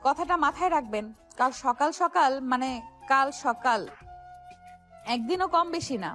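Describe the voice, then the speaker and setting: Young heroine played by a woman for romantic audio drama: high-pitched, emphatic, wounded but firm, over soft music. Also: expressive, moderate pace. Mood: stern, defiant. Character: wronged young woman, stern yet deeply hurt daughter. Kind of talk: Bengali audio story drama acting. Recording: music behind the voice.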